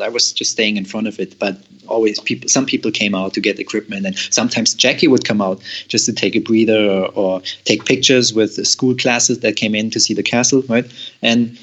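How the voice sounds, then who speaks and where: A young man from Germany, a guest telling a long personal story with halting, repeated words and frequent laughs. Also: mid-range voice, fairly bright tone, muffled recording. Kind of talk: storytelling in an interview.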